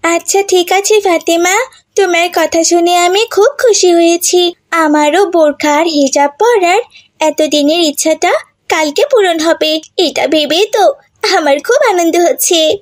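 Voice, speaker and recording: Woman as a very high-voiced eager schoolgirl. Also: measured pace. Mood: delighted. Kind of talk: cartoon dialogue acting.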